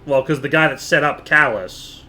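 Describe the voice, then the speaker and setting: A man's voice: fairly high pitch, normal speed, sharp tone. A man giving casual, irreverent live commentary with playful asides while he plays a video game. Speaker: live streamer playing a game.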